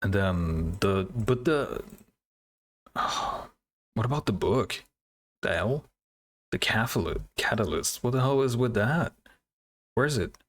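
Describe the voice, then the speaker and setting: A man's voice: on the low side, lively intonation, slow, long pauses. A man hosting a casual reaction video, thinking aloud in hesitant fragments. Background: game trailer music.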